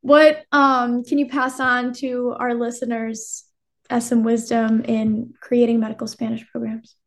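A woman's voice: on the high side, level tone, measured pace. A woman hosting a podcast interview, asking questions and slipping Spanish phrases into her English. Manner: warm and polite, mixing Spanish phrases into English. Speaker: podcast host.

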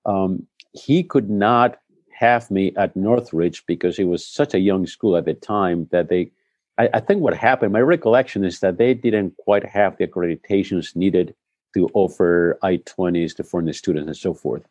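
A middle-aged man from Spain, whose first language is Spanish, speaking in a relaxed interview and telling his story thoughtfully, often restarting his sentences. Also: deep voice, normal speed, no room sound. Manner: reflective storytelling with frequent restarts. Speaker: head of school, guest. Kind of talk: conversational interview.

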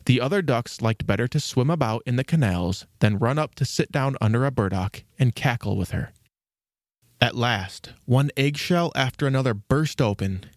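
Male host-narrator for children's classics: theatrical storyteller, mid-pitched, dry recording. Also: moderate pace, dry recording.

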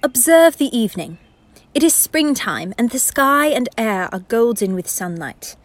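A woman narrating a radio play as a formal, philosophical storyteller with a measured, lecturing manner. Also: fairly high pitch, lively intonation, no echo. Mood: lyrical, calm. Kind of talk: scripted literary narration.

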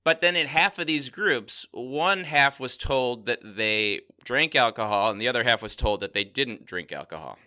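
Male podcast co-host, animated and bright-toned, chatty explainer who laughs a lot. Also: medium pitch, moderate pace, hardly any pauses. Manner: lively explainer, laughs often, says "right?". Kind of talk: casual science podcast chat.

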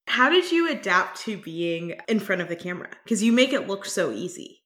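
A woman's voice: mid-range voice, big pitch swings, normal speed, a bit bright. Woman, podcast host, upbeat, chatty, casual interview talk.

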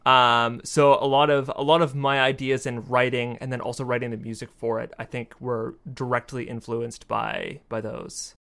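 A man talking casually as an interview guest, with halting, loosely phrased answers. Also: mid-range voice, little pitch movement, gravelly, fairly bright tone. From Fredericton, New Brunswick, Canada. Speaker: podcast guest, audio drama writer and composer.

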